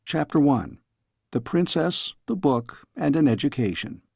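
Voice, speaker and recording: A man reading a storybook as scripted audiobook narration, in a measured, expressive storytelling way. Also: on the high side, creaky, slightly rough, dry recording, clean studio sound. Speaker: audiobook reader.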